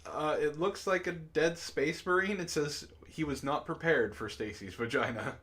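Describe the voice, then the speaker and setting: A man reading a story aloud to friends over voice chat, deadpan in the narration and laughing often between lines. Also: on the high side, normal speed. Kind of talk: casual group reading and chat.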